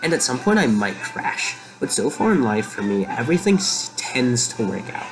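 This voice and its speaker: A young man hosting a rambling solo monologue from a room in a shared house, talking quietly and musing aloud with lots of fillers. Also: mid-range voice, big pitch swings, normal speed, poor audio. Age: young adult. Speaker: podcast host. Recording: quiet home recording with music behind. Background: faint music playing.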